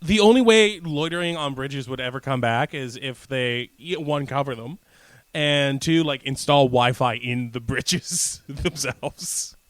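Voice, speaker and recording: A man's voice: mid-range voice, measured pace, dry recording. A man co-hosting a radio show, trading casual local-news banter in a jokey, mock-serious way. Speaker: co-host of a community radio show.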